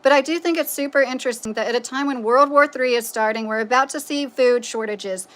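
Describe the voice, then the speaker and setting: A woman's voice: sits high, fairly quick, fairly bright tone. A woman from with a nasal voice, hosting a livestream and chatting in a rambling, opinionated way with lots of "like" and "you know.". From Kentucky, United States. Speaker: livestream host reading viewer comments. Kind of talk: casual livestream chat.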